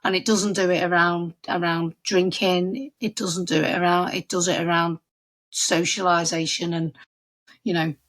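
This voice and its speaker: A woman's voice: on the low side, level tone, moderate pace, a bit bright. A woman with a Scouse accent hosting a podcast interview, chatty and encouraging, often restarting her sentences. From Liverpool area. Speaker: podcast host.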